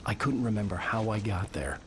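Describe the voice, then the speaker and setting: Brooding low-voiced male writer played by an actor. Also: lively intonation, brisk, slight background noise, lightly acted. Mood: brooding, reflective. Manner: brooding, intense. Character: troubled male writer, brooding, quick-tempered, devoted husband. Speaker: protagonist and narrator. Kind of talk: cinematic game acting with brooding first-person narration.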